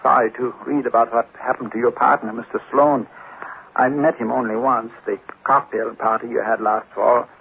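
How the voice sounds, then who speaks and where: A man's voice: mid-range voice, steady tone, normal speed, vocal fry, lightly acted. An actor playing a polite, rambling, apologetic male neighbor in 1940s radio drama style. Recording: tinny, faintly noisy 1940s radio recording.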